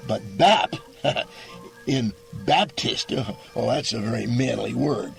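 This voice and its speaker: Comedy role: male actor as dim, earnest man, hesitant stammer, medium pitch, music underneath. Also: slow pace, gravelly. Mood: defensive, confused. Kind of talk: scripted comedy dialogue.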